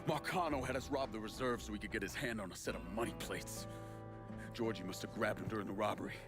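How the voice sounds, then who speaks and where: A male actor as a vengeful gangster in a crime game. His voice is low, terse and grave, with music clearly behind it. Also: fast, natural voice. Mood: grieving. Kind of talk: video game cutscene acting.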